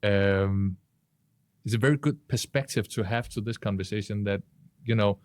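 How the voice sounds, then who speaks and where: Lowish-pitched man, deliberate panel talk. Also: dry recording. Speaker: podcast panelist. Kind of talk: conversational panel discussion.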